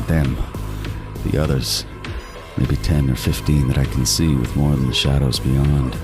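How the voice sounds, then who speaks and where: Deep-voiced, desperate serial killer. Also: male voice, gravelly, full character voice. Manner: intense, swinging from murmured narration to frantic pleading. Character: trapped male serial killer, obsessive and desperate. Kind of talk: horror audio drama narration and acting.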